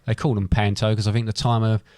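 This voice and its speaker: A man's voice: fairly low pitch, flat delivery, fast, a little mellow, no echo, studio quality. A middle-aged British man co-hosting a podcast in casual, bantering chat. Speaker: podcast co-host.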